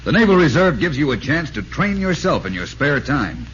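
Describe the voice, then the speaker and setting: An American male announcer delivers a scripted read in 1940s radio style. His pitch moves in a lively way, on a muffled recording with faint noise. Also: moderate pace.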